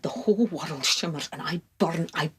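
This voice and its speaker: A woman playing a tormented, lovestruck poetic suitor in an impassioned stage monologue, husky and often breathy, in short repeated phrases. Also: low-pitched, moderate pace. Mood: frantic, adoring.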